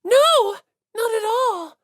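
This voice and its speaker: A woman's voice: very high-pitched, expressive, slow pace, a bit bright, no room sound, clean studio sound, voice pushed up, exaggerated voice. A woman voicing a sweet, shy teenage idol girl for an audiobook, earnest and hesitant as she gushes. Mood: bashful gratitude.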